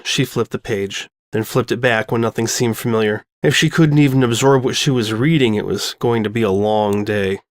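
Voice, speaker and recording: Male story narrator, mid pitch, dry sound, scripted fan fiction reading. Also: dry recording.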